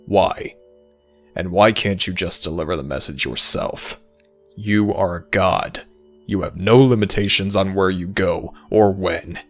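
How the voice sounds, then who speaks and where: Extremely deep, gravelly jackal god. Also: male voice, a little slow, fairly bright tone, soft background music, exaggerated voice. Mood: indignant, stern. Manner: grave, formal, humourless. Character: stern, brooding male jackal god of the underworld. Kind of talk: scripted fantasy audio roleplay.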